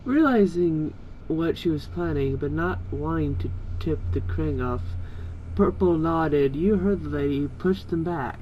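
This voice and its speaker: A man's voice: on the high side, lively intonation, unhurried, dull audio, a little hiss or hum. A man reading a story aloud in an amateur style, with casual asides. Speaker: co-reader of fan fiction.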